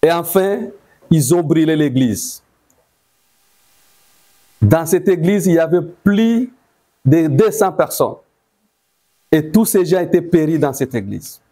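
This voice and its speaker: A man with a Congolese accent giving eyewitness testimony, telling it deliberately in short phrases. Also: on the high side, expressive, warm tone. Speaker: testifying massacre survivor.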